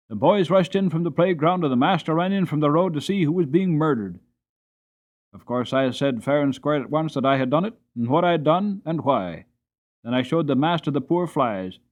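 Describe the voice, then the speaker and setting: A man's voice: on the high side, highs cut off, close to an everyday voice. A man voicing a righteous, spirited young stable lad, earnest and emphatic, in a storybook reading.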